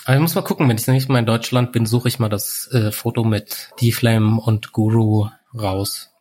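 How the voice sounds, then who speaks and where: A man in his forties telling stories in a relaxed, rambling way, full of fillers, as a guest in a casual chat. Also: lowish voice, normal speed. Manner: relaxed storyteller, many fillers like "halt" and "irgendwie". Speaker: podcast guest.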